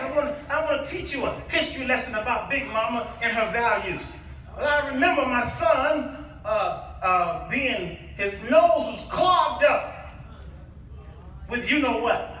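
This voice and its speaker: High-pitched American preacher in an echoey hall. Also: male voice. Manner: animated, declamatory, often calling on the listeners with questions. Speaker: guest preacher. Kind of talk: humorous storytelling sermon to a congregation. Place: large echoey room with a congregation. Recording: echoey hall, distant mic, audience noise.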